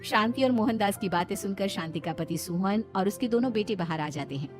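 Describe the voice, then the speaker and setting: A woman narrator with a mid-pitched voice reads a scripted moral story. It is told as cartoon storytelling, with music playing behind her. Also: animated, brisk.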